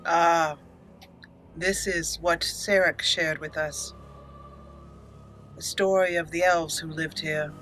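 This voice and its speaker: A woman hosting a game playthrough, reading her character's lines aloud with deliberate, theatrical, questioning phrasing. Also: fairly low pitch, fairly even intonation, fairly bright tone, faint music behind. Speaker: gaming channel host, role-playing her character.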